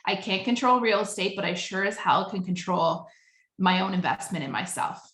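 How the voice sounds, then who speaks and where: A woman's voice: medium pitch, moderate pace, a bit bright. A woman hosting a casual interview, chatting in an enthusiastic, effusive way. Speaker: podcast host, business coach. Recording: roomy, echoing voice pickup.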